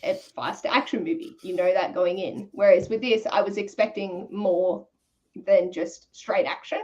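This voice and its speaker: A woman's voice: mid-range voice, steady tone, unhurried, a little mellow, muffled recording. A woman chatting casually and candidly, with informal, slangy wording, as a co-host of a book discussion. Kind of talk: casual chat.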